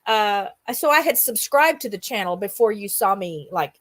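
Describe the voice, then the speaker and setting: A woman's voice: mid-range voice, lively intonation, moderate pace, fairly bright tone, no room sound. A middle-aged or older woman hosting a casual livestream chat, talking in an enthusiastic, rambling storytelling way. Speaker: host of a livestream roundup show.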